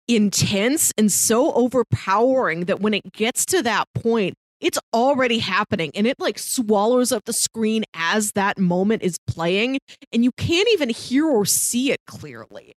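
A woman's voice: on the high side, animated, a little slow, no room sound. Casual woman podcaster, dry close sound. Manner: conversational, frequent "like". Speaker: podcast co-host. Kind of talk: casual film discussion chat.

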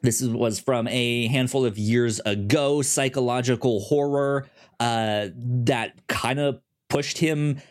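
A man co-hosting a movie podcast, talking casually with a halting, stammering delivery that often repeats words. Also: medium pitch. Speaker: podcast co-host. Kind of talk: casual chat pitching films on a movie podcast.

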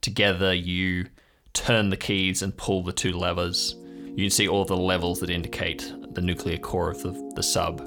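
A man's voice: sits low, level tone, normal speed, few pauses, over music. A man narrating an improvised audio drama as game master, describing scenes atmospherically in the second person.

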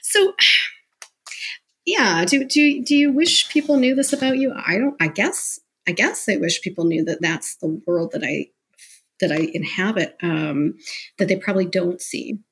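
A woman speaking as an interview guest, talking candidly and discursively about her working life in a conversational, animated way. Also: mid-range voice, a little slow, breathy edge. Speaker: interview guest, business professional.

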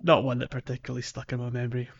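A man's voice: mid-range voice, monotone, rapid-fire, gravelly. Man podcasting casually, slightly dull mic. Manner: jokey, teasing banter with co-hosts. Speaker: podcast host. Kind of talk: casual gaming podcast chat.

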